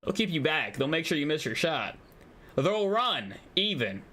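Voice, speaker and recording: A man livestreaming a game, talking to his chat in an animated, slangy way as he thinks aloud. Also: a little high, moderate pace, slight background noise. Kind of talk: game livestream, reading dialogue aloud and commenting.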